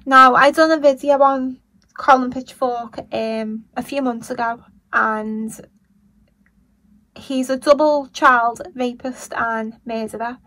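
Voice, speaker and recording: A woman with a Liverpool accent and a croaky voice, giving blunt, indignant commentary on a news story as a vlogger. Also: on the high side, a bit bright, no echo, poor audio. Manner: blunt and indignant, swears when angry. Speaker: true-crime commentary vlogger. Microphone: voice-over over still images.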